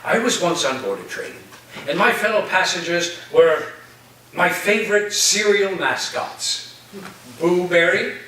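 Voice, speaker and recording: A man in a staged play reading, playing an eccentric philosophy professor with a halting, whimsical, theatrical delivery. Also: sits high, animated, sharp tone, full character voice. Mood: grandly whimsical. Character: eccentric male philosophy professor full of wild conspiracy theories. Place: reverberant room, staged reading before listeners. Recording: distant echoey room recording, faint noise.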